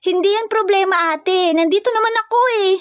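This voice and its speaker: A woman puts on a confident little sister from the countryside for a narrated story. The voice is very high and dryly recorded. The manner is cheeky, blunt and teasing. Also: a little fast, dry recording, clean studio sound. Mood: breezy, confident.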